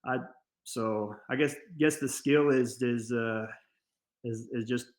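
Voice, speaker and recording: Podcast guest, male, middle-aged, mid-pitched, reflective, restarts often, echoey room sound. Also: steady tone. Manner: halting, many restarts, earnest and reflective. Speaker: fire service guest. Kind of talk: casual interview chat.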